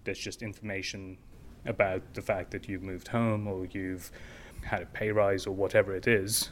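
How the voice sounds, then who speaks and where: Male founder as interview guest, flat delivery, casual explainer, faint noise behind. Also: low voice, normal speed. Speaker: guest, tech company founder.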